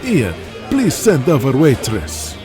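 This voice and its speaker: A man's voice: medium pitch, wildly swooping pitch, takes it slowly, music in the background. A man playing a worn-out, self-pitying Persian villain wrestler in an audio drama, broken English and theatrical swearing. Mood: grudging, muttering.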